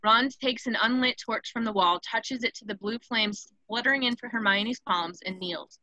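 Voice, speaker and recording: A woman's voice: a little high, normal speed, bright, forceful tone, dry recording, poor audio. A woman reading stage directions as the narrator of a scripted screenplay table read.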